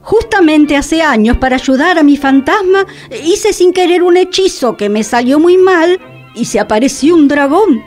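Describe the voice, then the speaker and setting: A woman's voice: sits high, animated, normal speed, darkish tone, faint music behind. A woman playing a sceptical, theatrical and lightly sarcastic character in a radio comedy drama. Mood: surprised, breezy.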